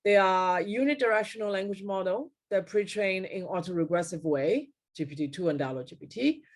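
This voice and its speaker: A middle-aged woman giving a technical keynote lecture over slides on a video call, pausing often with fillers and correcting herself mid-word. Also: mid-range voice, lively intonation. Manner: frequent fillers and self-corrections. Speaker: keynote speaker. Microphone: webcam at desk, video call.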